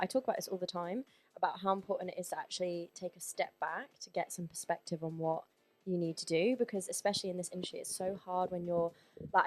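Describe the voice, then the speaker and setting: A woman hosting a casual podcast interview, conversational and encouraging, with frequent affirmations. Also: fairly low pitch. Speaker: podcast host.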